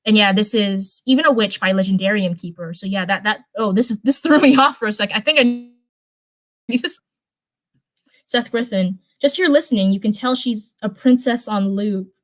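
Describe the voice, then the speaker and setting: Female live-stream reader, mid-pitched, casual story narration with informal, chatty delivery. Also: normal speed. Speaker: streamer reading fan fiction live.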